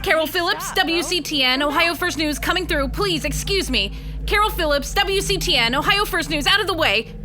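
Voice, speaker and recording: Actress as a high, urgent woman news reporter. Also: animated, normal speed. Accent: American. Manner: urgent, breathless news delivery. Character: earnest, astonished female radio news field reporter. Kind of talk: 1930s-style radio drama acting, on-scene reporting.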